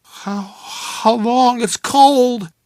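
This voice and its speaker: A man's voice: extremely high pitch, drawn-out words, gravelly, dry recording, pitched above the performer's own voice, full character voice. A man voicing a drugged, half-conscious young woman who slurs, protests and pleads while shivering. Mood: pleading. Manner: slurred, tearful, shivering.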